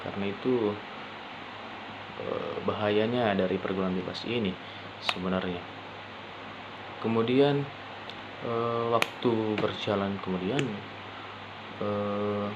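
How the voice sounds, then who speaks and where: A young man speaks in a slightly low voice at a very slow pace. He presents informally like a student, often halting to rephrase. The recording is lo-fi and dull, with clear noise behind him. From Indonesia. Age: young adult. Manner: halting, with frequent pauses and rephrasing. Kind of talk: informal spoken presentation for a class assignment.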